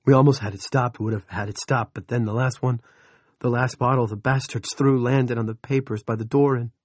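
A man's voice: mid-range voice, normal speed, vocal fry, husky, no echo, clean studio sound, voice pushed up. A male narrator voicing a distraught big newspaperman who wails, sobs and curses. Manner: wailing, sobbing, cursing. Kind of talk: audiobook character reading.

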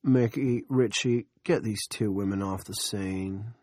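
A male narrator voicing a smug, menacing town boss who talks slowly and gloats. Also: fairly low pitch, a little mellow, no room sound, studio quality. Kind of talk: audiobook character reading.

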